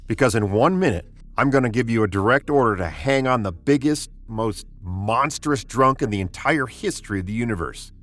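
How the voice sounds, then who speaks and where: An actor playing a steady, authoritative, plain-spoken spaceship commander in a 1950s science fiction radio drama. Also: male voice, mid-range voice, moderate pace, hardly any pauses, close to an everyday voice. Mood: wry, commanding. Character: steady, decisive male commander of a spaceship expedition.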